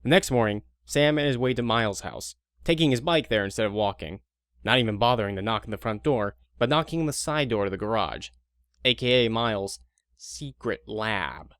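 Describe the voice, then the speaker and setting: A man's voice: on the low side, lively intonation, normal speed, fairly bright tone, clean tone, no echo, clean studio sound. A man narrating a scripted audio drama in an informal, wry, conversational way.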